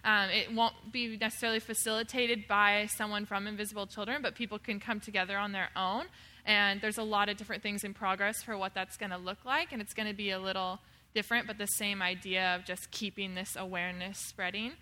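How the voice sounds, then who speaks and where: A woman's voice: mid-range voice, fairly even intonation, a little fast, sharp tone. Upbeat young woman hosting a Q&A. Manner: upbeat, chatty, many fillers. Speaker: event host. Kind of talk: hosting audience Q&A.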